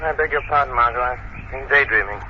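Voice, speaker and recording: A man plays a thoughtful, suave gentleman sleuth in old radio style. He talks quickly at a medium pitch, composed, on a dull recording. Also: very noisy, natural voice. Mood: musing. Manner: urbane, composed. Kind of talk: 1940s radio acting.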